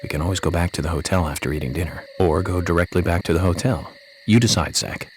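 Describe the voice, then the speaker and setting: A man playing an eccentric, polite FBI agent in a video game, speaking in a measured, deadpan, blithely morbid way. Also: sits low, a little hiss or hum. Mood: musing, confiding. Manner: deadpan, measured, matter-of-fact about grisly things. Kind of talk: video game cutscene acting.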